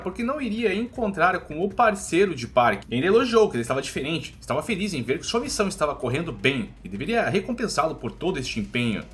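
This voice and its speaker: A man's voice: high-pitched, fairly bright tone, a little hiss or hum. A man with a Brazilian accent narrating a scripted story recap in informal, colloquial storyteller phrasing.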